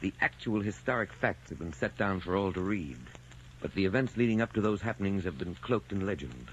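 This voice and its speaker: A man's voice: sits low, animated, a little fast. A man giving formal, solemn scripted narration as an old-time radio announcer. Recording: dull old radio recording, faint noise.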